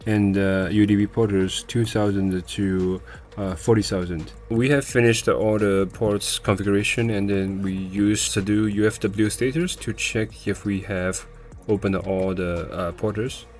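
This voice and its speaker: A man with a fairly low voice walks through a technical procedure step by step. He speaks off the cuff and haltingly, often pausing to find the next word. Faint music plays behind him. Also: fairly even intonation, darkish tone. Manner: hesitant, halting delivery with searching pauses. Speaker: software tutorial presenter. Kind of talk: step-by-step screen tutorial, unscripted.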